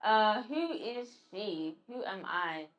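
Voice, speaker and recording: Woman spoken word poet, mid pitch, stops and restarts a lot when talking. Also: lively intonation, lo-fi sound. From London. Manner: halting, searching for words with restarts when answering. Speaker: guest poet. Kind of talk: spoken word recital and interview answers.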